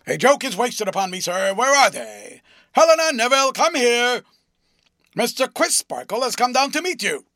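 A man voicing a loud, pompous, bullying gentleman with a booming, ferocious delivery, in an audiobook. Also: very animated, moderate pace, no room sound, clean studio sound, exaggerated voice. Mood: blustering. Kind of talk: audiobook character voice.

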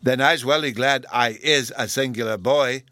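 A man's voice: mid-range voice, moderate pace, a bit bright, no room sound, pitched above the performer's own voice, natural voice. A man voicing an earnest, stubborn small boy who talks in childish grammar with babyish mispronunciations.

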